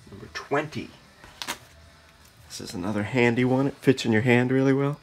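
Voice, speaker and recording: A man showing his handmade flower sculptures, muttering slowly and now and then breaking into excited exclaims, sometimes whispering, filmed in a dark blacklit room. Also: medium pitch. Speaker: artist showing his work. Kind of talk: casual off-the-cuff show-and-tell, partly whispered.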